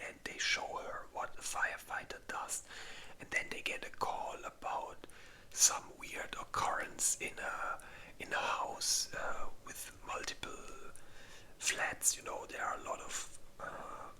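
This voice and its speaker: A host speaking in a breathy, unvoiced whisper, rambling informally in a casual ASMR ranking video. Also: bright, forceful tone.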